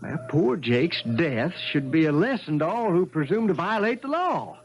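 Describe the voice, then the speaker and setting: An actor playing a cruel, smooth-talking younger country brother in a 1970s radio mystery drama, stammering as he schemes. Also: on the high side, sing-song, moderate pace, lo-fi sound. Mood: startled, calculating. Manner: nice, pleasant talk masking menace, hesitant stammers. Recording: noisy old radio broadcast.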